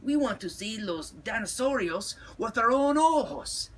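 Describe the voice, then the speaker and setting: A man's voice: sits high, lively intonation, measured pace, slight background noise, pitched above the performer's own voice. A man voicing brash, cheeky little Chihuahua dogs from a storybook. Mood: eager.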